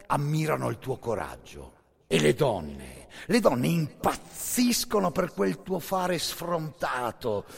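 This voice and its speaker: Male monologist for radio theatre: husky, intense storyteller, very wide swings in pitch, long hall reverb. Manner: intense, theatrical storyteller, swelling from hushed to forceful.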